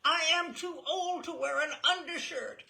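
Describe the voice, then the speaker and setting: A woman's voice: high voice, measured pace, vocal fry, bright, forceful tone, voice pushed up, heavily acted. A woman voicing an exasperated adolescent girl, pleading insistently in a storytelling reading. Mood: frantic, shouting.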